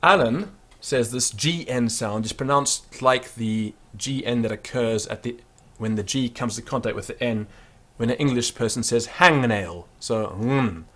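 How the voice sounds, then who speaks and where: A man giving an unscripted pronunciation lesson, explaining with fillers and then slowly sounding out words to demonstrate them. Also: mid-range voice, fairly bright tone. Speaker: Latin teacher.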